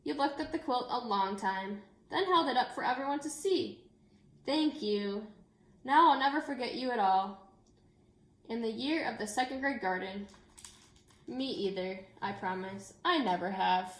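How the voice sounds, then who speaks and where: Woman, elementary teacher type, higher register, story read-aloud with informal asides to children, roomy echoing sound. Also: unhurried. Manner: addresses children directly and informally. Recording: echoey room.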